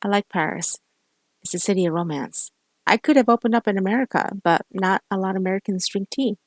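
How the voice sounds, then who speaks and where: A woman's voice: mid-range voice, a little fast, no echo, natural voice. A woman voicing a friendly, teasing young American man who owns a cafe. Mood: easygoing.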